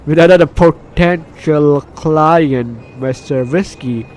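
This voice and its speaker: A man's voice: fairly high pitch, slow pace, a little mellow, slight background noise. A man hosting a casual let's play, reading game text aloud with commentary and often stumbling over and repeating phrases. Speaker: gaming video host.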